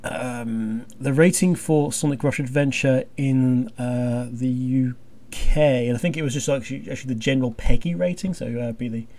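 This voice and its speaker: A man's voice: medium pitch, moderate pace, warm tone. A man hosting a casual commentary chat, speaking in a hesitant, rambling way with dry jokes. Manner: hesitant, rambling, dryly jokey. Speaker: podcast host.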